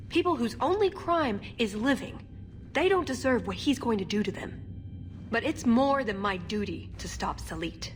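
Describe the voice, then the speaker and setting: Earnest young elven woman. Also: sits high. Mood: pleading, earnest. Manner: sincere, hesitant. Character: earnest, idealistic young female elven agent. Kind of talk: video game cutscene acting.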